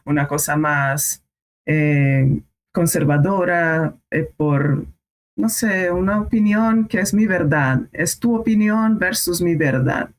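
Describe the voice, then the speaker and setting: A woman whose first language is Portuguese, a guest on a podcast, speaking in halting phrases and searching for words. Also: on the low side. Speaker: guest astrologer. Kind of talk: casual podcast conversation.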